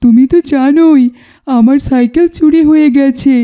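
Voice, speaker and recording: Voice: high voice, normal speed, mellow. A voice actor playing an earnest young village schoolboy in a cartoon, explaining things plainly. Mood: apologetic. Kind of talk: cartoon voice acting.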